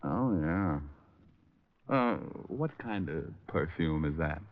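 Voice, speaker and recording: An actor playing a wisecracking, unflappable tough private detective with a wry, teasing manner, in mid-century radio drama style. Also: male voice, deep voice, expressive, takes it slowly. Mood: smooth, probing. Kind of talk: 1940s-50s radio detective acting and narration. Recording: muffled old radio recording, music behind.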